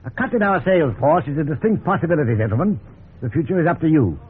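An actor playing a pompous older company boss in a 1950s radio drama, speechifying about respectability. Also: male voice, on the high side, expressive, quick pace, slight background noise, lightly acted. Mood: pompous warning. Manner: pompous, oratorical. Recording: tinny 1950s radio recording.

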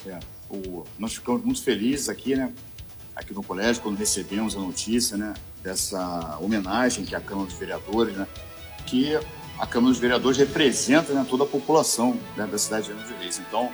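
A man, a naval college commander answering interview questions formally, padding his pauses with a constant "né". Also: fairly low pitch, faint music behind. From Niterói, Brazil.

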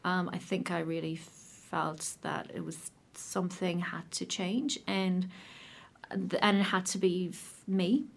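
A woman with an Irish accent, probably in her thirties, sharing her personal recovery story in a casual, hesitant way with many pauses and restarts. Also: lowish voice, steady tone, vocal fry. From Ireland.

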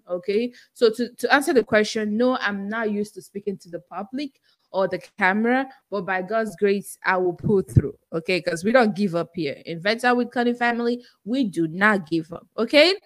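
A woman's voice: medium pitch, brisk, dry recording, low-quality recording. Earnest Nigerian woman hosting a podcast. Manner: earnest, talks straight to listeners; chatty with frequent fillers and restarts. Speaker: podcast host. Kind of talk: casual livestream Q&A.

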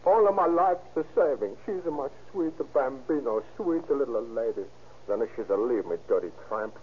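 Male actor as Italian immigrant suspect, wailing, evasive, broken English, swooping pitch, tinny old radio drama with light hiss. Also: animated, normal speed, natural voice. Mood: aggrieved, self-pitying. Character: excitable, weepy Italian immigrant husband and robbery suspect. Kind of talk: 1930s radio crime drama acting. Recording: tinny, faintly noisy old radio recording.

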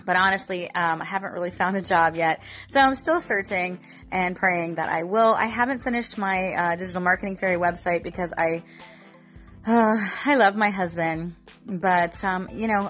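Woman, vlogger, casual and candid, fillers, getting over a cough, soft music behind. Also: mid-range voice. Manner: chatty, candid, with frequent fillers. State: recovering from a cough. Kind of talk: casual chat to camera.

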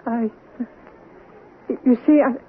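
A woman's voice: fairly high pitch, steady tone, takes it slowly, breathy edge, exaggerated voice. A woman playing a troubled, hard-drinking American wife under suspicion, faltering and wounded in a radio drama. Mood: shaken, defensive. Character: defensive, troubled heavy-drinking wife suspected of murder. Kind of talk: 1970s radio drama acting. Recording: tinny, band-limited old radio broadcast with hiss.

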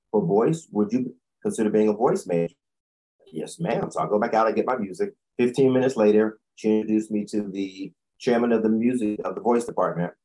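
A man in his sixties with a deep voice, telling a long personal story as an interview guest, often correcting himself as he goes. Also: rough recording. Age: 60s. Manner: rambling, self-correcting storyteller.

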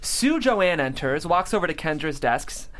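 Script narrator, stage directions, animated delivery, varied intonation, middle pitch, table read. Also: unhurried.

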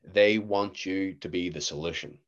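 A man in his twenties with a Northern Irish accent, an actor chatting as a podcast guest, lively and acting out what others said to him. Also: low voice, moderate pace, dull audio. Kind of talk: casual interview chat.

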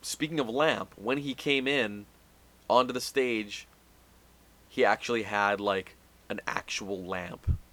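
Bright-voiced man recapping casually. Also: fairly low pitch, slow. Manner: rambling recaps with filler words. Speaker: podcast co-host. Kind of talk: casual wrestling recap chat.

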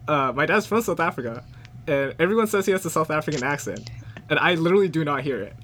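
A young Canadian man asks questions in a playful, joking way, his voice sitting a bit high for a man. He laughs often and acts out his own reactions in the stories he tells. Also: animated, fast talker, fairly bright tone. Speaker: interviewer. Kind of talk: casual interview chat.

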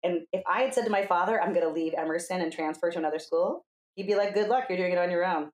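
A woman's voice: on the low side, quick pace. Candid American woman in a roomy echo. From New Hampshire, USA. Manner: animated, candid, acts out quoted dialogue, swears casually. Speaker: podcast guest, coach. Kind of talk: casual conversational storytelling. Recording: roomy, echoing sound.

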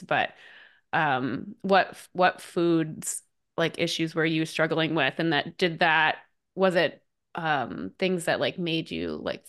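Low-voiced woman host, supportive and rambling. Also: fairly bright tone. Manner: supportive, lots of "like" and "um" fillers, frequent affirming "mm-hmm". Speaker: podcast host. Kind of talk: casual interview chat.

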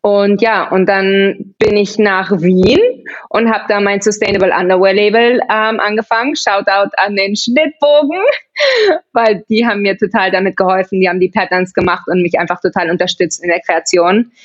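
A woman's voice: medium pitch, normal speed, highs cut off. A woman in her thirties from Barcelona, chatting as an interview guest, laughing often and restarting her sentences. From Barcelona, Spain. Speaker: podcast guest. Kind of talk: casual interview chat.

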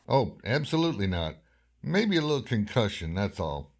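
A man playing a folksy, suspicious older country doctor in a radio horror drama, measured and skeptical. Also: on the low side, sing-song, gravelly, heavily acted. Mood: reassuring.

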